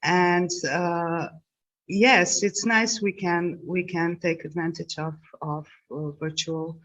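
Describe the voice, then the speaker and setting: Slow, hesitant woman moderating a video call. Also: lowish voice, fairly even intonation, fairly bright tone, a little hiss or hum. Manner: slow, hesitant, many fillers. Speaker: panel moderator. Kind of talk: moderating an online panel. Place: home, dark room. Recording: dull video call audio.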